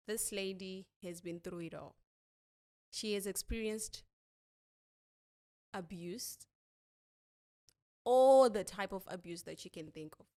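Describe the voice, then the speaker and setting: A woman hosting an interview podcast, speaking deliberately in short phrases. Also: fairly low pitch, warm tone. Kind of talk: interview with a scripted-sounding introduction.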